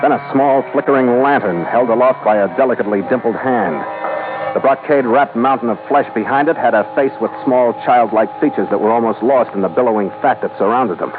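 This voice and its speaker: An actor playing a tough, sardonic American ship captain with an American accent, narrating with dry wit in an old radio drama. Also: male voice, medium pitch, brisk, natural voice. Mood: wry, grim. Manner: hard-boiled, dry wit. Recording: tinny old radio recording with music.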